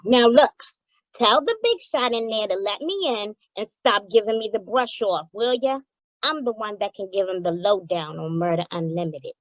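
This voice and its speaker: A woman's voice: medium pitch, normal speed, no room sound, muffled recording, lightly acted. A woman playing a streetwise, pushy small-time informant in old-time radio gangster style, slangy, indignant and grumbling. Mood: brash, demanding.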